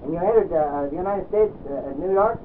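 Fast-talking probing male interviewer. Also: high-pitched. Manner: pressing for details, repeating answers. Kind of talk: oral history interview. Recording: tinny old tape with faint hiss.

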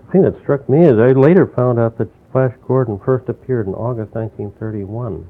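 A man's voice: mid-range voice, fairly quick. An older man from New York, recalling his childhood in a rambling, reflective way during an oral history interview. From New York, USA. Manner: rambling, reflective storytelling with restarts. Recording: tinny phone-like lo-fi recording with faint hiss.

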